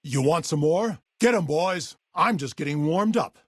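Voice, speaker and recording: Weary super mutant leader, low husky voice, expressive pitch swings, blunt authority, video game character. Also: male voice, normal speed, no echo, studio quality. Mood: battle fury. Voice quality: deep, gravelly, husky. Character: gruff, weary, level-headed super mutant town leader.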